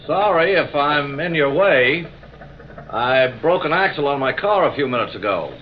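A man acting a courteous, apologetic motorist. His delivery is understated and slightly high in pitch. It sounds like a dull, faintly noisy vintage radio play. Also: expressive, moderate pace, lightly acted. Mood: apologetic, polite. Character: polite stranded male motorist, apologetic and understated. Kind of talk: 1940s-50s radio drama acting. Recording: muffled, noisy old radio recording.

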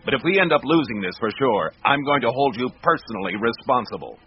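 Man playing a stern, animated company boss. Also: mid-range voice, quick pace, husky. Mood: angry, cold. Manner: scolding, authoritative. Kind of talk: radio drama acting. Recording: dull lo-fi radio recording, faint noise.

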